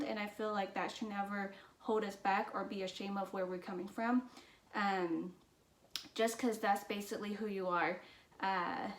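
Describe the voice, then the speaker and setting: A woman from Vietnam with as her talks earnestly and reflectively to her channel, with many fillers and restarts. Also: mid-range voice. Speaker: business and mindset vlogger. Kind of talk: personal talk to camera. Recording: roomy echo.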